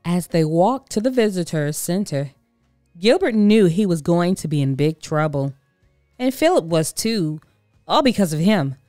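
A woman narrating a children's storybook in an expressive read-aloud style. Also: lowish voice, measured pace, no room sound, studio quality, soft background music.

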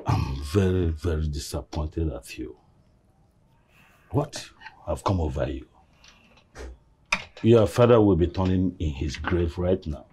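An older man playing a stern, fatherly chief who admonishes in a measured way, in a film drama. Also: low voice, warm tone, a little hiss or hum. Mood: stern, admonishing. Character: stern, paternal older family elder, a respected chief.

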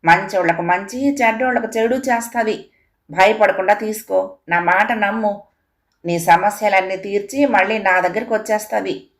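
A woman's voice: mid-range voice, moderate pace, natural voice. A woman voicing a tiny male dwarf in a storybook tale, an assured and persuasive trader with rustic speech. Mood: reassuring. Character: knee-high male dwarf, persuasive trader of magic cauldron.

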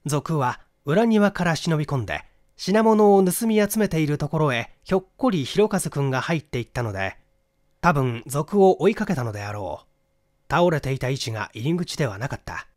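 A man narrating a mystery story from a script in an expressive storytelling manner. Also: a little high, brisk, no room sound, studio quality. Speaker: audiobook narrator, stage actor.

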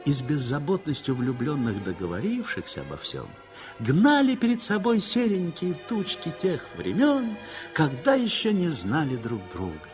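A man narrating a 1960s radio play in a measured storytelling manner. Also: fairly high pitch, huge pitch swings, faint music behind.

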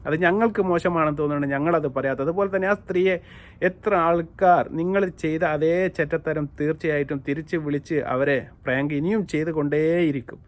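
A man's voice: a little high, steady tone, brisk. A man with a Kerala Malayali accent giving opinionated commentary, emphatic and repetitive as he addresses viewers directly. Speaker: YouTube commentator.

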